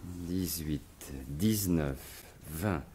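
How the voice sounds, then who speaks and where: Gentleman burglar, very deep and unhurried, murmured, absorbed and slyly teasing, vintage radio-drama delivery. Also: slight background noise. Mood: absorbed counting. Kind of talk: 1950s French radio drama acting.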